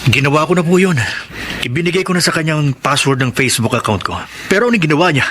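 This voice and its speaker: Bright, forceful aggrieved Filipino husband. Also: male voice, fairly high pitch, expressive, quick pace, slight background noise. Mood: exasperated. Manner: respectful but exasperated. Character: frustrated, aggrieved married man with children. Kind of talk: Filipino radio drama acting.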